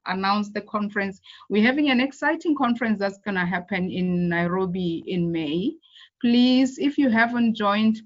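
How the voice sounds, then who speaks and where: A woman's voice: medium pitch, highs cut off. A woman who organizes an online webinar, making announcements and earnest appeals to the audience before handing over to the speakers.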